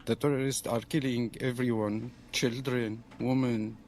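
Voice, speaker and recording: A man's voice: medium pitch, little pitch movement, measured pace, darkish tone. Guy from syria pleading for help, keeps repeating himself, faint noise behind him, amateur video. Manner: pleading, repetitive. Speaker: eyewitness in a video appeal. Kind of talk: pleading personal testimony. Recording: noisy amateur video clip.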